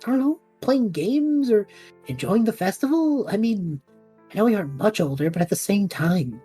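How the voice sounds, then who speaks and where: Actress as a restless young man in an audio drama, soft dark tone, rough-edged, earnest. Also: medium pitch, animated, moderate pace, faint music behind. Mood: troubled. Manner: casual, hesitant, earnest. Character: restless, idealistic young adult male traveler between worlds. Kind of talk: scripted audio drama acting.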